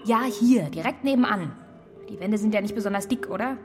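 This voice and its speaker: An actress playing a brisk, insistent police investigator in a naturalistic radio crime drama, with direct, pressing questions. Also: female voice, mid-range voice, expressive, music in the background, lightly acted.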